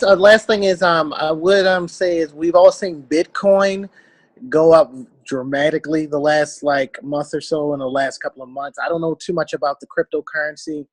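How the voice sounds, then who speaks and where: A man giving informal advice as a member on a group call, halting as he searches for words. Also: on the high side, no echo. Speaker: club member on a conference call. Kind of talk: informal advice, casual talk.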